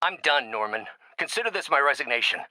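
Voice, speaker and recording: A man's voice: medium pitch, lively intonation, quick pace, bright, forceful tone, dry recording, rough recording. A male actor playing a brilliant, proud and embittered middle-aged scientist and mentor turning villain in a video game, with articulate, theatrical delivery. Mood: cold, menacing. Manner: articulate, theatrical, erudite phrasing. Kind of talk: dramatic scripted game dialogue.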